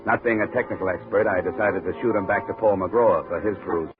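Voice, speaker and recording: A man's voice: sits low, fast talker, music in the background, close to an everyday voice. A man playing a tough, sardonic insurance investigator in a 1950 radio detective drama, with a clipped, wisecracking delivery. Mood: hard-boiled narrating. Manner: wisecracking, hard-boiled, clipped. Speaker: lead actor and narrator. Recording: tinny old 1950 radio recording.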